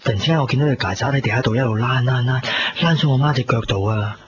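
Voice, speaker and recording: A man's voice: mid-range voice, quick pace, a little hiss or hum, close to an everyday voice. An actor playing a reflective, squeamish young man living alone in a radio drama, speaking Cantonese with a Hong Kong accent. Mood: chatty, squeamish. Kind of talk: 1990s radio drama, intimate narration and dialogue. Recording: muffled 1990s radio recording, music behind.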